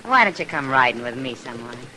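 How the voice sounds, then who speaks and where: A woman's voice: rumbling low, big pitch swings, fast, pushed and bright, full character voice. An American actress playing a spirited, teasing, independent frontier woman in an old-time radio western. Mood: playful, teasing. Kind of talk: 1950s western radio drama acting. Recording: noisy old radio broadcast recording.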